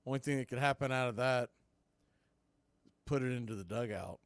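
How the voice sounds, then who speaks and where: A man's voice: mid-range voice, moderate pace, smooth, no echo. A man doing live radio play-by-play of a baseball game as the announcer, in short clipped phrases. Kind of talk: live radio sports commentary.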